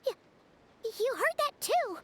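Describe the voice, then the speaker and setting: A woman voicing a tiny, excitable, childlike floating companion creature in a video game, with a bubbly, exclamatory delivery. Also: extremely high pitch, slow pace, slight background noise, heavily acted. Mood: astonished. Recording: loud music under the voice.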